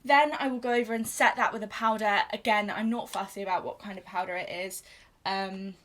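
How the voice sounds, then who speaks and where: A woman's voice: mid-range voice, fairly even intonation, a little fast, slight background noise. A young British woman chatting casually while doing her makeup on camera, self-deprecating, with frequent fillers. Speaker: beauty vlogger. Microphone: camera in front of her.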